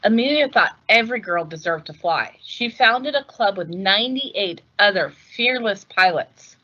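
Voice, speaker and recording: A woman with a bright, forward voice narrates a children's picture book at a mid pitch. Her delivery is measured and deliberate, shaped for storytelling. The recording is a little dull and lo-fi. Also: lively intonation. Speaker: read-aloud host.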